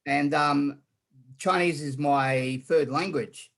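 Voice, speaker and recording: A man's voice: fairly high pitch, steady tone, takes it slowly, vocal fry. A man from Australia, a guest in a casual online interview, talking in a rambling way with many fillers and repeated words.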